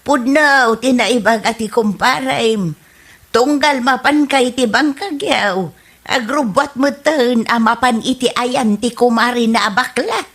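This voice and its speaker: A woman's voice: fairly high pitch, slow, a bit bright, husky, heavily acted. A woman with an Ilocano accent playing a disapproving, meddling older mother in a radio comedy drama. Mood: scolding. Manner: scolding, meddling.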